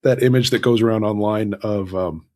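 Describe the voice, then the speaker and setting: A man with a fairly low voice speaks as a guest author in a relaxed interview. He tells things conversationally, with self-deprecating asides and little quoted exchanges with himself. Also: moderate pace. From Southern California.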